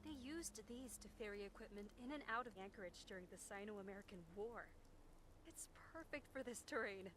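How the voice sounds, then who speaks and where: A woman's voice: mid-range voice, brisk, noisy background, natural voice. A woman playing a friendly, geeky plane enthusiast in a post-apocalyptic video game, chatty and enthusiastic. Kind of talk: video game character dialogue.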